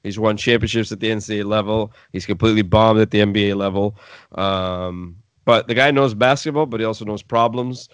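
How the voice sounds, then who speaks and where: Man, sports show host, low voice, blunt and opinionated, casual banter, close dry sound. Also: steady tone, fairly quick, smooth, dry recording.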